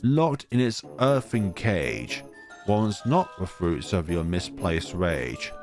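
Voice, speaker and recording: A man's voice: deep voice, big pitch swings, slow, darkish tone, soft background music, pitched below the performer's own voice, full character voice. A man voicing a cheeky, sprightly talking flower from a visual novel, lilting melodically through taunting rhymes. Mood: mocking. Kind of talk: visual novel character read aloud.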